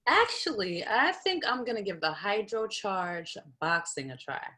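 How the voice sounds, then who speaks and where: A woman's voice: mid-range voice, sing-song, measured pace, sharp tone. A woman playing a composed young best friend in a comedy script read. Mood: supportive. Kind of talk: comedy table read acting.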